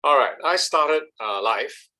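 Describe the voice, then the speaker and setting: A man, giving an animated, emphatic talk on meditation and often checking in with "all right?". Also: on the high side, a little slow. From Malaysia. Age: 70s. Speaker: speaker on meditation. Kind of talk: talk with slides.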